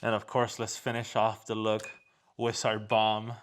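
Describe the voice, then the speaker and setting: A man's voice: fairly low pitch, completely flat delivery, measured pace. A man chatting casually as he hosts an unboxing and product review.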